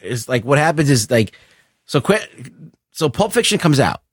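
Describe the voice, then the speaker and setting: A middle-aged man co-hosting a casual film discussion, explaining things in a halting, profane way. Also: mid-range voice, big pitch swings, breathy edge, no room sound, studio quality. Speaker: podcast co-host.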